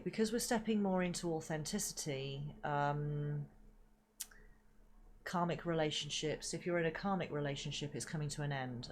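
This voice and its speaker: A woman giving a rambling spiritual reading with many fillers and casual swearing, sounding stuffy and sniffly from hay fever. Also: low-pitched. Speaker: spiritual reader.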